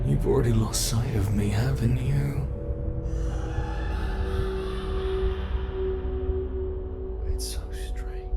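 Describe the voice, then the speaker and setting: A man voicing a sinister, playful monster in an ASMR horror roleplay, gravelly and sometimes breathy, taunting with theatrical, unhurried menace. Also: medium pitch. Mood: gloating, eerie. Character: sinister playful male monster hunting its captive.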